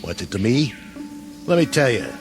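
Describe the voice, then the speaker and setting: An actor playing a tough, weary army sergeant who talks in a gruff, sarcastic way. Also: male voice, medium pitch, very animated, moderate pace, close to an everyday voice. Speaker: actor in a war drama. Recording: old TV soundtrack with music.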